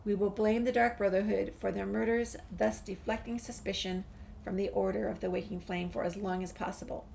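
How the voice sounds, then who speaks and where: A woman with a creaky voice, reading a game's documents and dialogue aloud as a gameplay streamer. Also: mid-range voice, fairly even intonation, fairly quick, a little mellow. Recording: faint background noise, game ambience.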